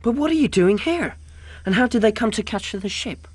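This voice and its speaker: A man's voice: sits high, lively intonation, brisk, no room sound. An actor playing an earnest, eager boy adventurer full of questions, in a radio drama.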